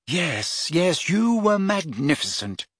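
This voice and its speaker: A man voicing a soft-spoken, anxious and proper teenage girl from a storybook. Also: on the high side, very animated, slow, no echo, clean studio sound, voice pushed up, heavily acted. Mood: amused.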